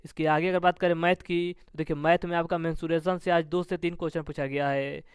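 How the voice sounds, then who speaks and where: Male coaching instructor, very clean smooth voice, close dry sound, formulaic question-then-answer delivery. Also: on the high side, flat delivery, fairly quick, dry recording. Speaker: exam coaching teacher.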